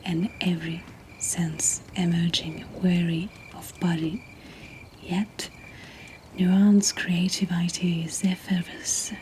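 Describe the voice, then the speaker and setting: A woman who is not a native English speaker reads poetry as a host in a soft, breathy, gentle whisper, in an ASMR style. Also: on the low side, poor audio. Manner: slow, gentle, deliberate delivery. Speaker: host reading submitted poetry. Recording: nighttime cricket ambience behind, faint noise. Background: crickets and insect ambience.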